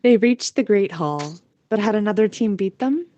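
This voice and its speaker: A woman's voice: medium pitch, animated, normal speed, dark tone, highs cut off, slight background noise. A woman narrating a scripted fantasy audio story in a storytelling voice.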